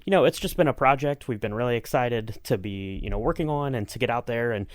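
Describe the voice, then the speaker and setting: A man's voice: mid-range voice, expressive, fast, dry recording, clean studio sound. A man co-hosting a podcast, talking in an enthusiastic, rambling, conversational way. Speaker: podcast co-host. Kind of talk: casual podcast chat.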